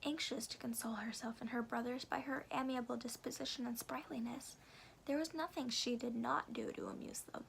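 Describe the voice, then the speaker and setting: A woman softly and carefully whispering in a hushed, breathy ASMR style, as a storyteller reading to the listener. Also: a little high, fairly even intonation, brisk, a little hiss or hum, low-quality recording. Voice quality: breathy whisper with some creak. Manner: soft, careful, hushed delivery.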